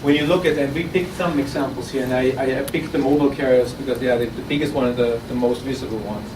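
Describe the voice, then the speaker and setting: A man with an Austrian accent pitching his startup live, chatty and loose, tripping over and repeating words as he goes. He sounds set back from the mic in a roomy, echoing space. A steady hiss of air and room noise sits under his voice. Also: fast. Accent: Austrian German. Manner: conversational with frequent restarts and repeated words. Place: conference room at an innovation event. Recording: roomy, distant, noisy audience recording.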